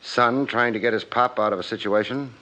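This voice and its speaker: An actor playing a tough, wry private detective in a 1950s radio drama, narrating and questioning in a clipped, insinuating way. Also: male voice, mid-range voice, fairly even intonation, a little fast, pushed and bright, lightly acted. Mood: skeptical. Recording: muffled old radio recording.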